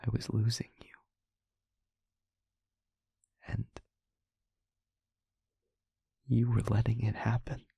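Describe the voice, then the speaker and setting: A man playing a wounded, sorrowful demon in an intimate audio roleplay, speaking slowly and solemnly in a husky, breathy near-whisper with halting short phrases. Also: mid-range voice, completely flat delivery, dark tone, no echo, clean studio sound. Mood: grave, sorrowful. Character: wounded, haunted male demon confessing with tender sorrow.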